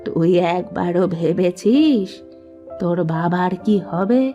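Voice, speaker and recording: A woman's voice: on the low side, muffled recording, soft background music, voice pushed down. Woman putting on a kind old granny. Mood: gentle. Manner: gentle, slow and soothing. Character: kind old village grandmother.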